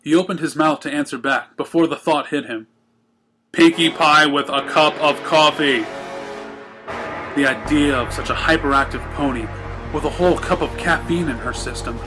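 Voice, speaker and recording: A man narrates a piece of fan fiction from a script. His voice is on the higher side for a man. Faint music plays behind him. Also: fairly quick. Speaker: story reader.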